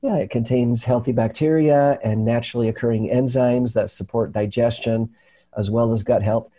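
A man hosts a relaxed health segment over a video call. His voice is mellow and dark, with a slight rasp from a recent cold. He talks in an earnest, halting way, restarting his sentences often. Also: mid-range voice. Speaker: host. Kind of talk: casual health talk show chat. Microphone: remote video call.

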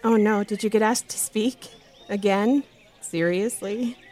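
A woman's voice: medium pitch, measured pace, a little mellow, natural voice. A woman acting in an audio drama as a tender, shaken young woman, heartfelt and emotional with her dear friend. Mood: playful.

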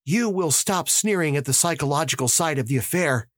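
A man voicing an excitable young detective. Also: no room sound, clean studio sound. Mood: insistent. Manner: raised high, rapid pleading delivery. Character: young male detective, eager, excitable, insistent.

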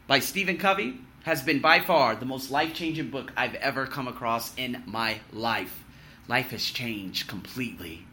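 A man in his thirties giving a blunt, emphatic year-in-review vlog monologue, swinging between loud boasts and low muttered asides, with the odd swear word. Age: 30s. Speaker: vlogger.

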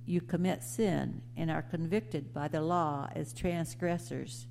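Slow, mellow woman reading scripture, low-pitched. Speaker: scripture reader. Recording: clear background noise with hum.

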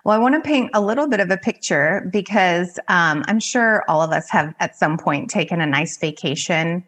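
Woman podcast guest, life coach type, voice a touch low, informal conversational advice. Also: lively intonation, normal speed, fairly bright tone. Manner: informal, heavy use of "like".

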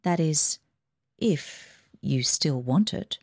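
A woman reading aloud, voicing a gentle middle-aged father in a measured, hesitant way, close to her own voice. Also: low-pitched, lively intonation, dry recording, exaggerated voice.

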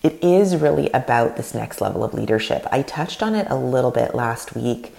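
A woman's voice: a bass voice, moderate pace, gravelly. A Canadian-born woman in her thirties, whose first language is Russian, hosting a solo podcast in an earnest, rambling way. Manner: earnest, animated, rambling with restarts. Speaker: podcast host and leadership coach.

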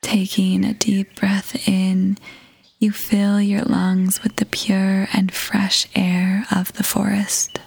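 Female meditation guide: soft breathy near-whisper, very slow, even pitch, gentle and soothing. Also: dry recording. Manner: soothing, gentle, measured with long pauses. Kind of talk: guided meditation, scripted narration. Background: music.

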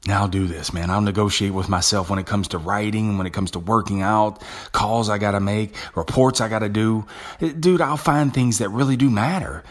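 Male podcast host, deep husky voice, conversational and self-talking, stumbles and restarts. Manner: casual, conversational, with frequent restarts. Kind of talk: motivational monologue.

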